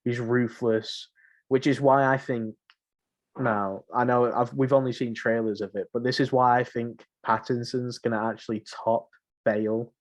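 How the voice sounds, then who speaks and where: A man's voice: mid-range voice, level tone, moderate pace. A young man co-hosting a podcast in casual, rambling, informal chat. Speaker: podcast co-host. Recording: muffled lo-fi home recording.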